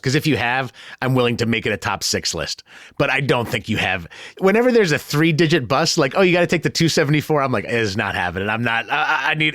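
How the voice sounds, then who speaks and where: A man's voice: mid-range voice, fairly bright tone, no echo, studio quality. An American man hosting a conversational podcast with playful, jokey banter. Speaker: podcast host.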